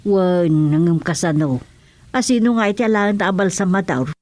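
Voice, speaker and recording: A woman's voice: lowish voice, moderate pace, darkish tone, lightly acted. A woman with an Ilocano accent playing a grumbling village mother in a radio comedy-drama. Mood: questioning. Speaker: actor in a radio serial.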